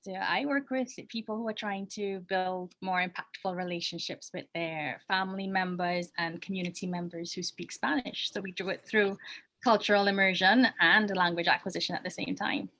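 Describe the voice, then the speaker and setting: A woman with a Trinidadian accent speaks in a friendly, open way on a video call. Her voice sits at a middle pitch. The sound is dry and close. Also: steady tone, normal speed, a bit bright, no room sound. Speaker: guest.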